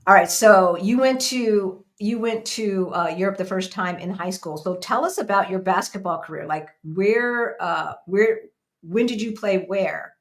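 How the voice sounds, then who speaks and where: A woman co-hosts a casual interview in a chatty, upbeat way, tossing in playful asides between questions. Her voice sits at an ordinary middle pitch. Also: unhurried. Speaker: co-host.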